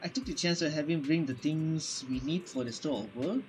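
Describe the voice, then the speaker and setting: A man's voice: on the high side, brisk, low-quality recording. A man doing playthrough commentary as a gaming host, reacting and reading game text aloud. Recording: game music behind the voice.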